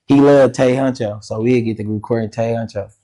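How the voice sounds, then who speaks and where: Raspy-voiced male guest, loose and slangy, easy podcast chatter. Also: medium pitch, steady tone, brisk, mellow. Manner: laid-back, slangy, laughs often. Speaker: musician guest. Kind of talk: casual podcast interview.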